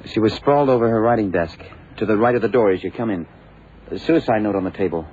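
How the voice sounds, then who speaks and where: An actor playing a brisk, plain-spoken detective's assistant in an old radio drama, informal and direct. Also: male voice, on the low side, darkish tone, lightly acted. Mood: insistent. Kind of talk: 1960s-70s radio drama acting. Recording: muffled old radio broadcast, faint hiss.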